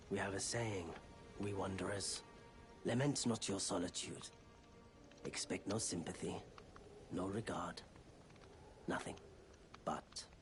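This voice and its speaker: An actor playing a weary, soft-spoken wandering merchant in a fantasy video game, speaking in a hushed, measured, confiding way. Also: male voice, sits low. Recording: game dialogue with clear music behind. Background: music.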